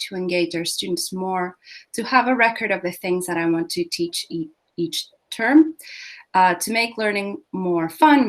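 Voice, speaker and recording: Woman, kinda low voice, teaching webinar, lots of ums, laptop mic on a call. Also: rough recording. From Argentina. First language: Spanish. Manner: measured, explanatory, frequent ums. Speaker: English language instructor. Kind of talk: online workshop presentation.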